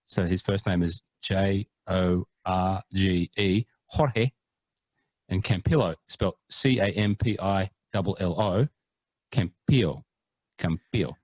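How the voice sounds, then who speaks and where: A man co-hosting a golf podcast, chatting casually in a lowish voice. He is playful and mock-earnest and hams up foreign pronunciations. The sound is dry and close. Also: slow pace, darkish tone, no room sound. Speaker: podcast co-host. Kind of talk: casual chat.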